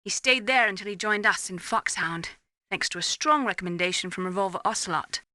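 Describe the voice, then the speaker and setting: An actress playing a composed, concerned military medical adviser in a video game, speaking in a measured, informative way. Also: female voice, mid-range voice, bright, forceful tone, slight background noise, close to an everyday voice.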